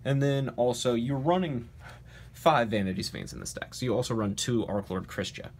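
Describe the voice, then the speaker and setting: A man's voice: mid-range voice, animated, moderate pace. A man hosting a casual card game deck profile with a stuffy, nasal voice from a cold. He talks confidently and emphatically, with frequent "uh" and "um". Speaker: card game channel host.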